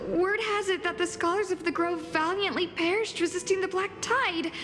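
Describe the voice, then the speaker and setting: A woman's voice: very high-pitched, steady tone, normal speed. A woman playing an earnest, courteous young student in a video game, speaking politely and formally. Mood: relieved. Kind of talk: dramatic video game voice acting. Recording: reverberant hall, faint music.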